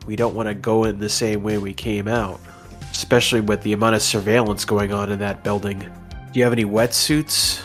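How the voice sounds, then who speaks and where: A man's voice: fairly low pitch, a bit bright. A man playing a tabletop game, talking through his moves in casual role-play banter.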